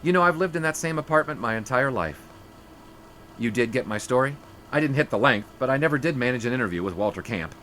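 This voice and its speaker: An actor playing an earnest young sportswriter in an audio drama, speaking in a halting, hushed, apologetic way. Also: male voice, medium pitch, expressive, a little hiss or hum. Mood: weary.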